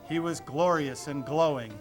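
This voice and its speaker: A man's voice: fairly high pitch, moderate pace. A man reading a rhyming children's story aloud, with music playing behind him. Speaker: storybook reader.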